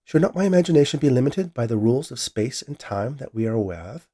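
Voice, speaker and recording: A man voicing a dispassionate, precise female AI lab assistant with an Australian accent and formal, measured delivery in a science fiction story. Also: medium pitch, lively intonation, warm tone, pitched above the performer's own voice, close to an everyday voice.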